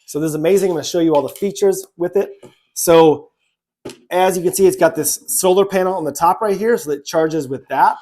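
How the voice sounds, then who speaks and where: A man's voice: sits high, a little fast, mellow. A man giving a casual, loosely improvised product demonstration, repeating himself as he goes in an informal way. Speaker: product reviewer.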